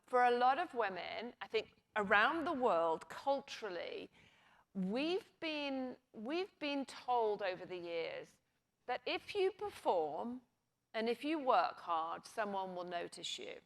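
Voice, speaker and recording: A woman's voice: a little high, very animated, slow. A woman speaking on a conference panel, making her case emphatically with rhetorical questions. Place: conference stage.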